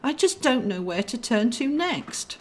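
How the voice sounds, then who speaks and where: A woman reader plays a guardian angel in a comic storybook. She is anxious and fretful, pleading in a high voice well above an everyday pitch. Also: big pitch swings, unhurried. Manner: worried, desperate, repetitive pleading.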